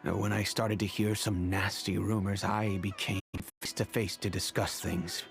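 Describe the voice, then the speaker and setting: Low-voiced, regretful merchant father. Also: little pitch movement, vocal fry, faint music behind. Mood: earnest, troubled. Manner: measured, formal, confessional. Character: regretful middle-aged male desert merchant, earnest father. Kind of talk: video game voice acting.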